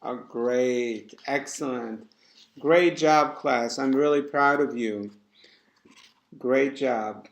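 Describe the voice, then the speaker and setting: A man teaching a class, giving deliberate, encouraging instructions to students in an indoor room. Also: mid-range voice, vocal fry. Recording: echoey room.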